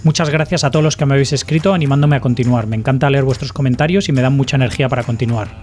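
A man's voice: mid-range voice, rapid-fire, darkish tone, faint music behind. Spanish-speaking male podcast host. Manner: friendly, prompting with short questions. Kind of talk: casual interview. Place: home room with shelves. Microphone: video call, webcam.

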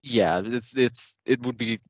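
A man's voice: medium pitch, a little slow, no echo. A young male university student from Serbia, a guest on a video call, who speaks hesitantly with many fillers and frequent laughs. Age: young adult. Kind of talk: casual video-call interview.

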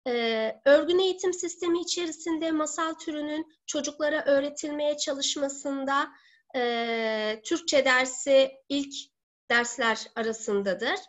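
A woman in her thirties giving an online seminar as a teacher, speaking deliberately with halting, drawn-out words. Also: high voice. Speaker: teacher presenting a webinar. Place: living room at home. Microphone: laptop or webcam mic on video call. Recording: dull lo-fi webcam call audio.

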